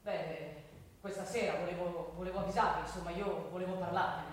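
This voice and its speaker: An actress playing an anxious, insistent female school principal in a stage drama, speaking haltingly and with strain. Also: lowish voice, steady tone, close to an everyday voice. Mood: defensive. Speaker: stage actor. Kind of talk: Italian theatre drama, naturalistic dialogue. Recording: roomy, distant stage recording with faint noise.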